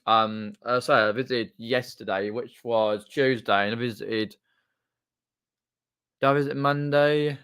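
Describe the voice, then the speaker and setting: Bright-voiced man, rambling and halting. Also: medium pitch, steady tone, clean tone. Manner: rambling, halting, often trailing off mid-sentence. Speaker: live streamer and video channel host. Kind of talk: casual unscripted livestream chat. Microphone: desktop condenser microphone.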